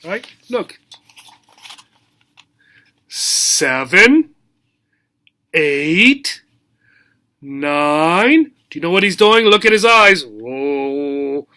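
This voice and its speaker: A man reading a picture book aloud as a teacher, in simple short phrases, with animated questions for young listeners. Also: a little high, drawn-out words, long pauses. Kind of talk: children's read-aloud lesson, asking questions.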